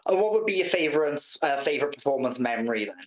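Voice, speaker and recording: A man's voice: fairly high pitch, very animated, fast talker. A man asking questions as the interviewer in a casual chat. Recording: roomy, echoey sound.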